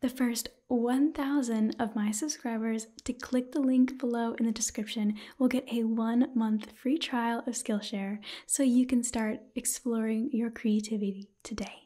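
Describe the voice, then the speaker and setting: Young woman, soft breathy whisper, mellow tone, ASMR presenting, gentle volume. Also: a little high, steady tone, moderate pace, few pauses. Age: 20s. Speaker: ASMR video creator reading a sponsor message. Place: small indoor room.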